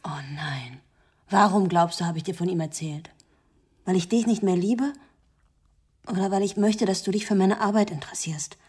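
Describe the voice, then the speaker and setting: An actress playing an earnest, caring woman determined to help, speaking in a measured way in a radio drama. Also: fairly low pitch, a little mellow, husky. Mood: passionate, frustrated.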